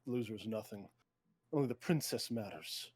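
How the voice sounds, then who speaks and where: A man playing an arrogant, suspicious prince and hunter in a table read, with a deliberate, commanding, declamatory delivery. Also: fairly low pitch, darkish tone, slightly rough, no echo. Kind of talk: theatrical table-read performance.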